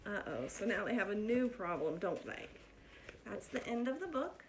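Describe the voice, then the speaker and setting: A woman reading a picture book aloud to children in an expressive, deliberate storytelling manner, like a teacher addressing pupils. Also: mid-range voice, soft background music.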